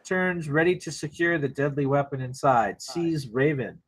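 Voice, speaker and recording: Higher-voiced man narrating screenplay action. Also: lively intonation, moderate pace, breathy edge. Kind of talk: scripted narration at an informal table read.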